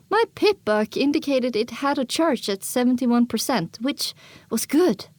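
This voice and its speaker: A woman narrating a first-person story as an audiobook, expressive and dramatic, on a close microphone. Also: sits high, slow pace, husky, no echo.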